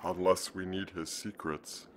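An actor playing a calm, cold alien creature in an audio drama, measured and matter-of-fact. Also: male voice, deep voice.

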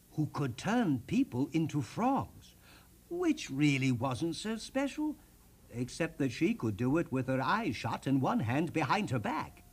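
A man narrates a children's storybook at a leisurely pace, with huge swings of intonation. His voice is husky and a little high, and he savors each description in a theatrical, deliberate manner. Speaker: storyteller host. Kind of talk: expressive children's storybook reading.

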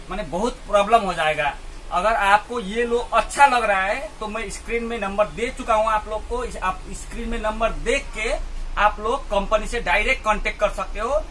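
A high-pitched man from India explains a product casually to camera, often checking that he is understood. He sounds a little way from the mic in a small indoor shop, with plenty of noise around him. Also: sharp tone. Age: 30s. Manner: explanatory, repeatedly checking with catchphrases like a tag question. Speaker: product reviewer and vlogger. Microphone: camera or phone mic a short distance away. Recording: noisy shop recording, distant mic.

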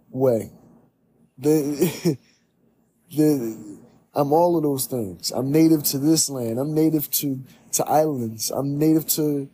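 A man's voice: mid-range voice, mellow, husky. A young man talking alone to a close camera in a small room, earnest and preachy, often repeating himself. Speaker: podcast host. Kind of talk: reflective monologue.

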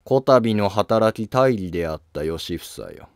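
Emperor for historical drama male performer, formal and commanding, lowish voice, slow delivery. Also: lively intonation, no echo, studio quality. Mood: regal, commanding. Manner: formal, authoritative. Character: stately, commanding emperor rewarding his subjects.

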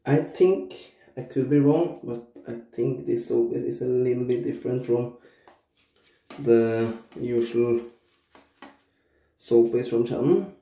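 A man in his thirties with a cold and a stuffy nose, reviewing shaving soap casually in his bathroom while shaving on camera. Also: medium pitch. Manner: slow, relaxed, frequent uh and um pauses. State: congested from a cold, sore throat. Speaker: shaving vlogger. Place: small bathroom indoors. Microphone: camera at arm's length. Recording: echoey bathroom.

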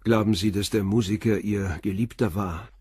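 A calm probing police inspector. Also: male voice, fairly low pitch, slightly rough, dry recording, studio quality. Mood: steady. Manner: measured, persistent questioning.

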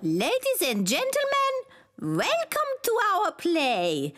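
A woman voicing a grand, excitable gazelle schoolteacher in a children's cartoon, announcing in a formal theatrical manner. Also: extremely high pitch, takes it slowly, noisy background, full character voice.

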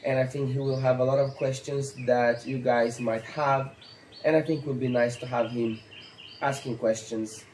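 Brazilian-accented man, thirties, mellow dark tone, halting non-native phrasing, roomy echo, faint birds outdoors. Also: mid-range voice, steady tone, slight background noise. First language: Portuguese. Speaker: stock dog trainer. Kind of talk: instructional talk to camera. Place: outdoor patio by a pool.

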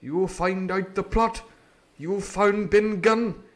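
A man voicing a stern but kindly older ship's doctor in a storybook reading, scolding in an old-fashioned gentlemanly manner. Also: high voice, slow pace, voice pushed up. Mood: grateful.

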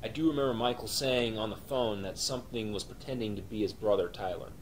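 Man playing grim police investigator, horror found-footage, lowish voice, halting and solemn, faint rumbling noise. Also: lightly acted. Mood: shaken, grim. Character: grim, shaken male police officer investigating monsters. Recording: noisy, rumbling amateur recording.

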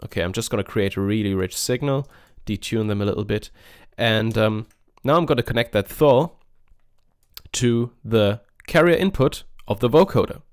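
A man hosting a software tutorial in a casual, offhand, informal way. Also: fairly low pitch, moderate pace, no room sound, clean studio sound. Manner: informal, offhand, mildly sweary. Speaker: music software tutorial host. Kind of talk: casual screen-recorded tutorial.

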